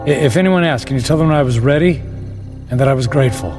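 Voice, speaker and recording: An actor playing an intense, emotional condemned man in a radio drama, halting and repeating his words. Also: mid-range voice, over music, natural voice. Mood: humble, grateful.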